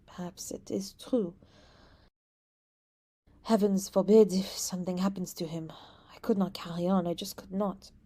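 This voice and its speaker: A woman's voice: on the low side, steady tone, normal speed, pauses often, warm tone, natural voice. A woman voicing a playful young princess who teases and scatters French words into her speech, in an ASMR roleplay. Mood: worried, hushed. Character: teasing young princess, playful, anxious beneath.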